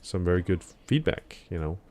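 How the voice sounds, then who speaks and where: A man's voice: low voice, expressive, normal speed, a little mellow, a little hiss or hum. A man live-streaming a game in the morning, chatting casually with viewers in a rambling, hesitant way full of fillers and restarts, still a bit sleepy. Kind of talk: casual live-stream chat while gaming.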